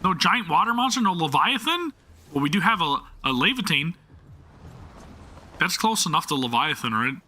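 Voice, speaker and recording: A young man talking casually over his gameplay on a live stream, with a very bright, sharp-edged voice pitched a bit high. He rambles, jokes at his own expense and stumbles over restarts. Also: very animated, moderate pace, long pauses, slight background noise. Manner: chatty, self-deprecating, stammering restarts. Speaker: game streamer.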